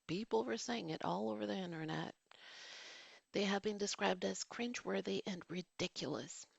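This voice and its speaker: A woman's voice: mid-range voice, normal speed, creaky, husky, dry recording. A woman in her forties, a vlogger and teacher, reads a written movie review and drifts off into casual, digressive asides. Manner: digressive, reads formal sentences then drifts into casual remarks. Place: home.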